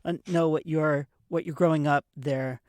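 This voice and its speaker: A man hosting an interview in a casual, somewhat halting way, correcting himself as he frames his questions. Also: fairly high pitch, steady tone, darkish tone, no echo. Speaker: interview host.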